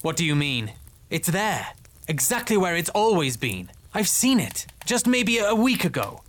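A man's voice: sits high, wildly swooping pitch, moderate pace, fairly bright tone, exaggerated voice. Man acting a wry, self-assured fantasy lieutenant. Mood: startled, insistent. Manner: ironic, formal. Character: male army lieutenant, wry, self-assured, earnest patrolman. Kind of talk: dramatised fantasy story dialogue.

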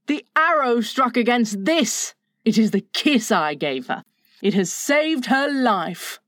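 A man's voice: very high voice, expressive, takes it slowly, sharp tone, dry recording, studio quality, pitched above the performer's own voice, heavily acted. A man voicing a bossy, stern boy leader in a storybook reading, with a commanding, declamatory manner. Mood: earnest, relieved. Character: bossy, stern, boyish young leader of lost children. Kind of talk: storybook character voice in audiobook reading.

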